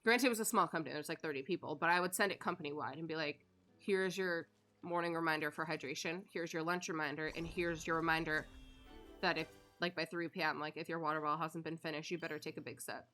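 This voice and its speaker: Woman, 30s, live streamer: rambling storyteller, casual swearing, crisp and tense on top of a slightly low pitch. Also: steady tone, fast, pushed and bright, faint music behind. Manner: chatty storyteller, swears casually, laughs often. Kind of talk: casual chat while gaming.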